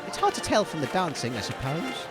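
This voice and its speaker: A British man playing a cheerful, naive radio host, earnest and excitable, giving mock event commentary in an audio comedy. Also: on the high side, a little fast, music in the background. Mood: bright, chirpy. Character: cheerful naive male radio host, gently chiding his co-host.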